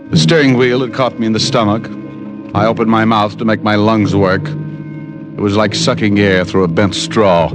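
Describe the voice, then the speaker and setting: An actor playing a wisecracking private detective, narrating in an old radio drama. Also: male voice, fairly low pitch, natural voice. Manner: dry wisecracking, clipped narration. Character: hard-boiled male private eye, wry. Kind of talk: 1950s radio drama acting.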